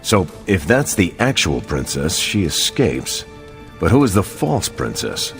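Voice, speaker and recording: A man's voice: deep voice, very animated, a little slow, vocal fry, a bit bright, soft background music, full character voice. A man acting as an earnest, puzzled hero in a video game cutscene, inquisitive and matter-of-fact. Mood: puzzled, curious.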